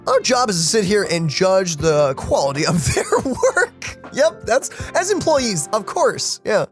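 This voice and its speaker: Casting: animated male gamer host, high register, casual playful commentary, faint music behind. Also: a little slow. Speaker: let's play host. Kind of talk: casual gaming commentary, reading dialogue aloud.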